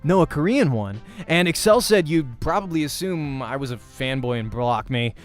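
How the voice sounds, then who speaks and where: A man's voice: mid-range voice, lively intonation, moderate pace. A man hosting a let's play, reading visual novel narration aloud expressively like a voice actor. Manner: acts out dialogue while narrating. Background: game music.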